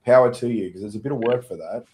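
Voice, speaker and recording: A man co-hosting a business podcast, talking in a relaxed, conversational way. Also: mid-range voice, fast, slight background noise. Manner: relaxed, conversational, chuckles easily. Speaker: podcast co-host. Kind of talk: casual chat.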